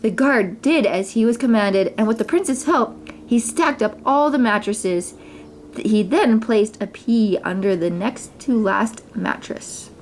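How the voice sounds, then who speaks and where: A woman reading a children's story aloud as narrator, in an expressive, dramatized way. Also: a little high, unhurried, a little hiss or hum, poor audio. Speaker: mother reading stories for children.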